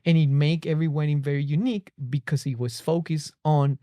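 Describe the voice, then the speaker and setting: A man's voice: fairly high pitch, fairly even intonation, brisk, warm tone, no echo, clean studio sound. A man with a Colombian accent, a native Spanish speaker, telling his story reflectively as a podcast guest. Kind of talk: personal storytelling in a conversation. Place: small podcast studio. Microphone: close boom-arm studio microphone.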